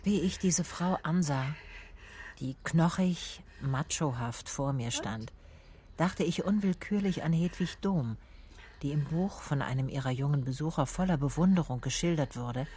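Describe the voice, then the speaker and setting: Low-voiced female detective. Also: lively intonation, a little slow, warm tone. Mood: reflective. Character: cool, reflective female private detective narrating her case. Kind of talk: radio crime drama, first-person narration.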